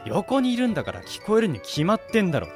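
A man's voice: high voice, huge pitch swings, fast talker, vocal fry, darkish tone, faint music behind, exaggerated voice. A man acting a wary, exasperated young guy who grumbles blunt retorts in an amateur radio drama. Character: wary, exasperated young man among drinking buddies, Kenji.

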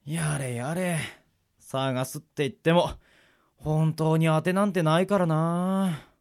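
A man playing an easygoing middle-aged swordsman mentor in a radio drama, moving between polite formality and gruff casual talk. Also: on the high side, fairly even intonation, takes it slowly, no echo, studio quality. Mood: weary, musing. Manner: switches between smooth polite formality and rough casual drawl. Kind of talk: anime-style radio drama acting.

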